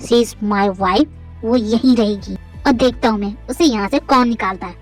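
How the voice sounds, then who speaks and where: A cold, furious young husband, voiced by a woman who barks orders in a forced low voice. The delivery is clipped and harsh, set against a faint music bed. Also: normal speed, close to an everyday voice. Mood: stern. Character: tormented young wife, male role, man.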